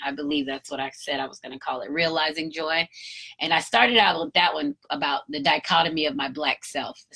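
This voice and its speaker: A woman's voice: low voice, a bit bright. A woman in her fifties talking candidly on her solo podcast, encouraging listeners and cursing casually. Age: 50s. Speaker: podcast host. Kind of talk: reflective solo podcast talk.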